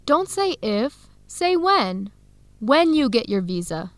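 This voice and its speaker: Young female researcher for educational radio drama: warm, upbeat, fairly high voice, clear simple lines. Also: sing-song, takes it slowly, no echo. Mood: excited, encouraging. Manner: clear, simple phrasing for English learners.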